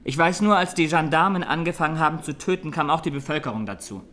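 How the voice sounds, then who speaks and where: An actor playing a young Armenian massacre survivor giving halting, sombre testimony in a radio drama. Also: male voice, on the high side, fairly bright tone, close to an everyday voice. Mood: subdued, grave.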